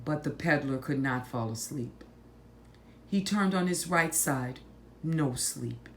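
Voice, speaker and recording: A woman reading a picture book aloud to children, phrasing deliberately and stressing words for drama. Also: extremely low pitch, animated, fairly bright tone, slight background noise. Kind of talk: slow, expressive picture-book read-aloud.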